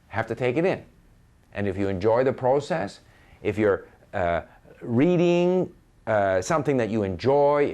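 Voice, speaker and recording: A man's voice: medium pitch, darkish tone. A man with a Canadian accent giving informal, opinionated advice as an experienced learner, emphatic and repeating phrases for stress. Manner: emphatic, repeats phrases for stress, quotes imagined speakers conversationally.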